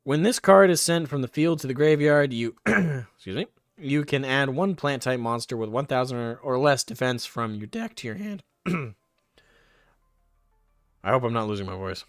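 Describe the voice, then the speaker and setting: A man's voice: mid-range voice, expressive, normal speed, no room sound, clean studio sound. A man streaming casually, reading card text aloud and drifting into rambling personal stories. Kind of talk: casual live stream chat.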